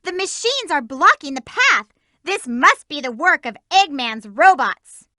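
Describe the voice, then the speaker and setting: A woman's voice: sits high, very animated, a little slow, bright, forceful tone, no echo, studio quality, exaggerated voice. A woman voicing a peppy, eager young female hedgehog heroine for a video game, giving upbeat instructions to her team. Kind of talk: game voice clips.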